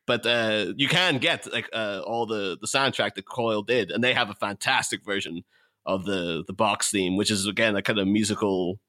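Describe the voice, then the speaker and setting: A podcast guest, this man has a fairly low voice with a bright, forceful edge. He chats casually about films, explaining as he goes in loose, rambling turns. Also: normal speed. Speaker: podcast guest, co-host of a film podcast. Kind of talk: casual film discussion.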